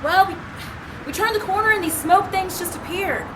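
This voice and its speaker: Audio drama policewoman: extremely high, deferential, flustered, slightly distant in noisy street ambience. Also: normal speed. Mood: dutiful. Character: dutiful, flustered female uniformed police officer.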